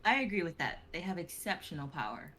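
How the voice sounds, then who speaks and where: A woman's voice: lowish voice, big pitch swings, quick pace, sharp tone, a little hiss or hum. A woman playing a caring soldier in a dramatic reading of a sci-fi script. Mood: concerned.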